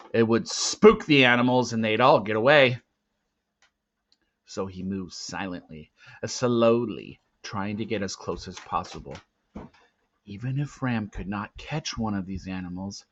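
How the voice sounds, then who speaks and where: A man reading a storybook aloud as narrator, with dramatic, suspenseful swells and hushed drops. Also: medium pitch. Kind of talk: expressive storybook read-aloud. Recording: dull lo-fi, roomy echo.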